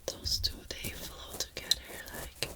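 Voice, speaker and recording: A woman speaking in a breathy whisper, gently murmuring through an ASMR personal attention roleplay with soft pauses. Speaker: ASMR creator playing an ear decorator.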